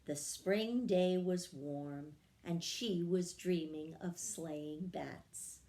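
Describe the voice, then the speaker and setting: A woman reading a children's story aloud in a measured, expressive storytelling manner. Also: on the low side. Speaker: story reader. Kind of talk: scripted children's storybook narration.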